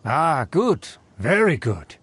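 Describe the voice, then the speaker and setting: Casting: haughty old-fashioned nobleman for a game, pompous, highish voice with dramatic swoops. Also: big pitch swings, slow, fairly bright tone, a little hiss or hum, heavily acted. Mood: pompous approval. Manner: pompous, theatrical, formal. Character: haughty male aristocrat, vain, condescending, old-fashioned. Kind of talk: video game character acting.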